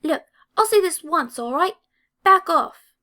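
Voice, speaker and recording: An angry schoolboy as a woman reader acts him out in a story reading. The voice is sharp, squeaky and raised high. He blusters and sputters, spoiling for a confrontation. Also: lively intonation, a little slow, heavily acted. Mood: angry, confrontational.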